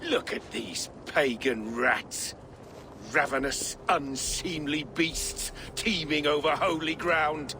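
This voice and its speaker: A man's voice: a little high, slow pace, background noise. An actor playing a scornful, embattled medieval Saxon king in a game, pompous and declamatory. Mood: disgusted. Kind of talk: dramatic video game cutscene acting.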